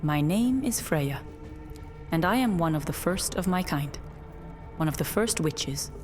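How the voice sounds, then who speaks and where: A woman's voice: low-pitched, lively intonation, unhurried, a little mellow. A woman playing an ancient witch in a fantasy audio drama, speaking in an earnest, portentous and formal way. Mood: solemn, portentous. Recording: music under the voice.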